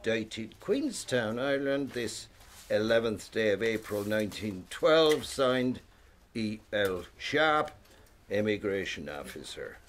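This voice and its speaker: An actor playing a gossipy, officious Irish port immigration officer in a radio drama. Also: male voice, lowish voice, lively intonation, slow, a little hiss or hum. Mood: brisk, formal.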